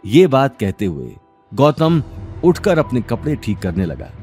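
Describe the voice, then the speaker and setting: A man's voice: medium pitch, huge pitch swings, a little slow, warm tone, faint music behind. A man narrating a scripted audio drama series in a dramatic storytelling style.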